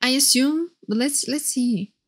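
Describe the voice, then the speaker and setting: Excitable high-voiced young woman reacting. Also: measured pace, breathy edge. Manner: excitable, gushing. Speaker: streamer reacting to videos. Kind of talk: casual live reaction commentary. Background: game trailer music.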